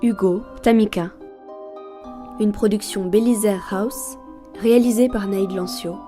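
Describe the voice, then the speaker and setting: A woman announces the credits of an audio drama. She reads very slowly and deliberately at a medium pitch, with prominent music behind her. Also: steady tone. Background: new-age style music.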